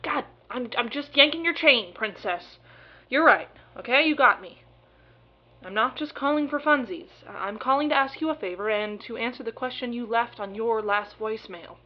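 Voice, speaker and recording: Woman doing cheeky evasive friend on the phone, radio play, quite high, playful, dull sound. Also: lightly acted. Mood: evasive, sheepish. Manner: sardonic, playful drawn-out teasing. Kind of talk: audio drama acting. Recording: dull, muffled phone-call recording.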